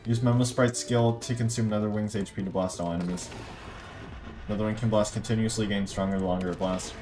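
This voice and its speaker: Casting: casual male game streamer, lowish voice, off-the-cuff asides, faint game music behind him. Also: fairly even intonation, a little fast. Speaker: gaming streamer.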